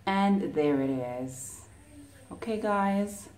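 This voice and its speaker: A woman narrating a toy unboxing, gently explaining each step as she builds a set at a table. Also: mid-range voice, lively intonation, extremely slow. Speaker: toy reviewer. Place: indoors at a table, voice behind the camera.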